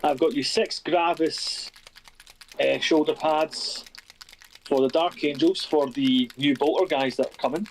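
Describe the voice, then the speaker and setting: A man with a Scottish accent chatting easily as a guest on a stream, reading out a list with pauses. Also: on the high side, a little hiss or hum, poor audio. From Scotland. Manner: easygoing, reads out a list with pauses. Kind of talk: casual chat.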